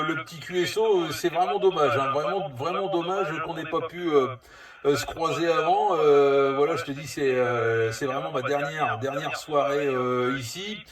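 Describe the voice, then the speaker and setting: A man's voice: on the high side, roomy sound, distant mic. A man chatting casually as a CB radio operator, rambling and hesitant as he goes. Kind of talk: casual two-way radio chat.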